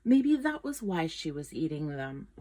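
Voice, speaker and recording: Animated woman reading a storybook, unhurried. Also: deep voice. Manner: expressive, dramatic, with gasps and whispered asides. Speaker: art teacher reading a picture book aloud. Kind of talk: animated children's storybook read-aloud.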